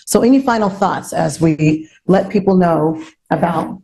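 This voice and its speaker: A woman's voice: on the low side, a little slow, vocal fry, mellow, a little hiss or hum, low-quality recording. A woman hosting an online panel, talking promotionally and repeating phrases for emphasis. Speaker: host.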